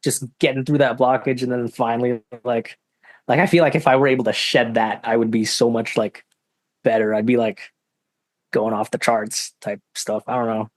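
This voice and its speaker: A young man, a singer-songwriter guest in a podcast interview, talking in a soft-spoken, hesitant way with lots of "like". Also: mid-range voice, little pitch movement, normal speed, no echo. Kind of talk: casual interview chat.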